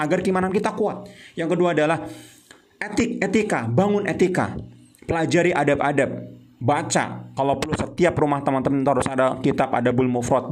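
A man in his thirties giving a heated motivational talk as founder of a youth religious movement, mixing in English terms and Arabic phrases. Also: mid-range voice.

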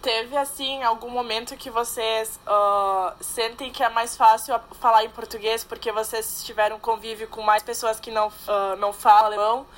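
A young woman interviewing guests, asking gentle, probing questions. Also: on the high side, fairly even intonation, moderate pace, few pauses. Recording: faint background ambience.

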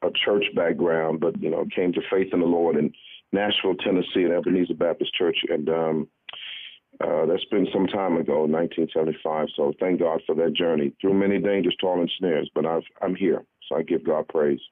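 Man in his sixties, from the Bahamas, low voice, earnest guest pastor, halting conversational delivery, thin phone audio. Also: steady tone, husky. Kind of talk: conversational radio interview. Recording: thin, muffled phone line.

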